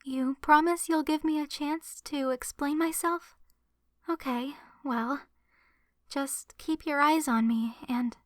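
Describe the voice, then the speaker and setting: Succubus best friend for ASMR roleplay, female performer: high voice, soft and hesitant, earnest, very dry close-mic sound. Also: no room sound. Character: gentle young adult succubus woman, shy lovestruck best friend. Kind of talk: ASMR roleplay, addressed to the listener. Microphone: close microphone in a dry space.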